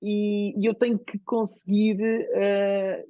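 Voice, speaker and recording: Woman, Portuguese accent, coaching client, reflective, halting, dry dull video-call sound. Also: mid-range voice, little pitch movement, mellow, no room sound. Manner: hesitant, reflective, many pauses and restarts. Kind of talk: live coaching session.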